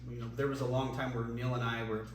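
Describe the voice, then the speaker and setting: A man's voice: mid-range voice, quick pace. Guy rambling about old tours, lots of ums, sounds far off in a really echoey room. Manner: relaxed, rambling, many ums and restarts. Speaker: former band singer, interview guest. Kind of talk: casual reminiscing interview. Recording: echoey room, voice from a distance, faint noise.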